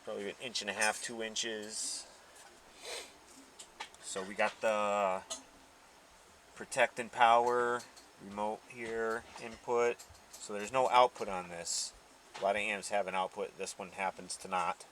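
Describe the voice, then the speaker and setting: Slow-paced man with a bright voice. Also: lowish voice, flat delivery, slight background noise. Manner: informal, thinking aloud as he handles items. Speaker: host of a car audio channel. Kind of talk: casual unboxing commentary.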